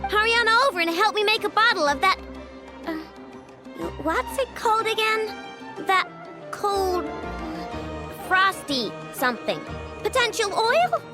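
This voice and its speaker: Young girl inventor, very high and lively, chatty, stubborn and boastful, game dialogue over music. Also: a little slow, long pauses, full character voice. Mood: eager, curious. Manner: breezy, boastful, coaxing. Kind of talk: video game voice acting. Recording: background music behind the voice.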